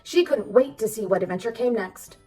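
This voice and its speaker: A woman reading a children's storybook aloud as narrator, expressive and addressing young listeners. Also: mid-range voice, fairly quick, far from the mic. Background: background music.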